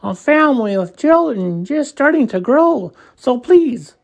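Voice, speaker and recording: Man playing a kindly male elephant, storybook, protective, earnest, polite, slow, widely varied pitch. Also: very animated, creaky, exaggerated voice. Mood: earnest, pleading.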